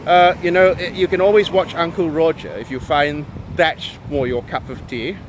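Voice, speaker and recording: Man, Malaysian Peranakan English accent, emphatic and wry monologue, higher pitch, clear street noise behind. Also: fairly even intonation, normal speed, rough recording. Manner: emphatic, wry, with frequent restarts. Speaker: video essayist. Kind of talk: monologue to camera.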